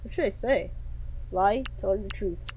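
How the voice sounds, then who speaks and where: Female let's-play streamer: mellow tone, casual, wry asides, faint background noise. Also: mid-range voice, unhurried, rough recording. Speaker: gaming channel streamer. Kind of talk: casual let's-play commentary, reading some game lines aloud.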